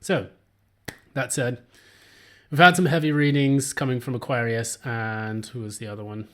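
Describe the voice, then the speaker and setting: Guy reading tarot cards, kinda hoarse, rambles with lots of ums, middle-pitched voice. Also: steady tone, moderate pace. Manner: rambling, many filler words and restarts. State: hoarse, voice still recovering. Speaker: tarot reader. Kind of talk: casual solo tarot reading.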